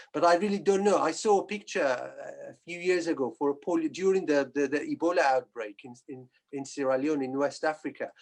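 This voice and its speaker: A middle-aged man from northern Iraq giving a personal account on a webinar, speaking in a halting, hesitant way. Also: fairly high pitch. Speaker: guest speaker, physician and polio survivor.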